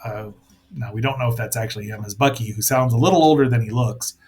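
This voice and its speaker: A man's voice: medium pitch, fast, darkish tone. A man hosting a casual review show, rambling and thinking aloud with playful asides as he comments on a cartoon.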